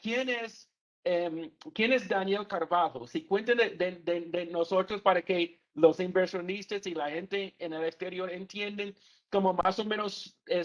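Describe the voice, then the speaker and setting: A male interviewer with a high voice asks questions in Spanish hesitantly, stumbling and repeating words. The sound is dull and muffled, with the top end cut off. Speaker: interviewer, executive editor.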